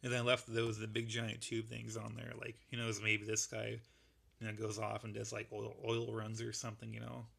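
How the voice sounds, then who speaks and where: A man with a gravelly voice that is going, casually rambling through a show-and-tell of a model build. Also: fairly low pitch, completely flat delivery, normal speed, fairly bright tone. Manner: rambling, laid-back, lots of fillers. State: losing his voice.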